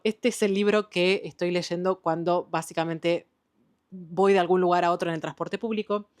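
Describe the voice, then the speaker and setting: A woman with an Argentine Spanish accent, chatting enthusiastically about the books she has been reading, with frequent "eh" and "em" fillers. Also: fairly low pitch. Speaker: book vlogger. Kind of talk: casual book wrap-up chat.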